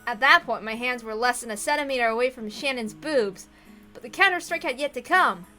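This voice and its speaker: A woman's voice: on the high side, brisk, sharp tone, faint music behind. A woman reading a visual novel aloud expressively, with asides to her audience.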